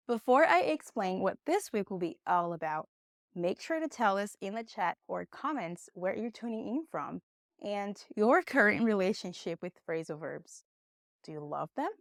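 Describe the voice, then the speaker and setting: A young woman, a Portuguese speaker, giving a conversational online English lesson with encouraging, expressive emphasis. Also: medium pitch, no echo, clean studio sound. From Brazil. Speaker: English teacher.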